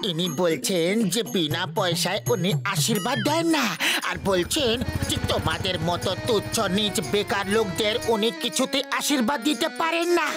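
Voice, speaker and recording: A man voicing a sly, mischievous cartoon friend, persuasive and smooth in his talk. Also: high voice, very animated, moderate pace. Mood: sly, haughty. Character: sly, mischievous cartoon friend, fast-talking male schemer. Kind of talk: Bengali cartoon dubbing. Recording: music behind the voice.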